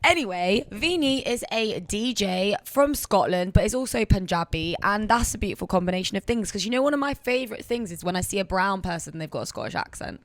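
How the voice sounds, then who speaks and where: A woman's voice: medium pitch, lively intonation, fairly quick, few pauses, no room sound. Teasing, chatty woman host. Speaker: podcast host. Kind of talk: casual podcast interview.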